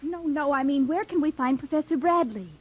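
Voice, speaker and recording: A woman's voice: high voice, brisk, natural voice. An American woman playing a spirited, impatient young college girl in 1940s radio style. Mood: concerned, impatient. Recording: muffled old radio recording, faint noise.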